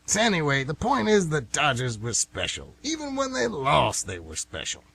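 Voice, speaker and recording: A man's voice: fairly high pitch, huge pitch swings, moderate pace, vocal fry, sharp tone, slightly rough, exaggerated voice. A man playing a folksy, nostalgic older baseball fan who rambles emphatically in an amateur radio play. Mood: enthusiastic, rambling.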